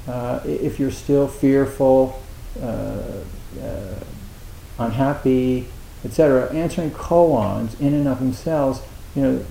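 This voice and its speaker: A man giving a dharma talk as a Zen teacher, speaking haltingly with many restarts and a wry, self-mocking humor. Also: mid-range voice, creaky, mellow, reverberant, slight background noise, poor audio. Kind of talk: dharma talk at a meditation retreat.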